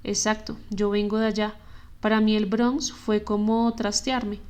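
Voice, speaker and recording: A man's voice: high-pitched, measured pace, smooth. A woman voicing a laid-back street man. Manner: relaxed street slang. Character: street-hardened homeless man, slangy, easygoing.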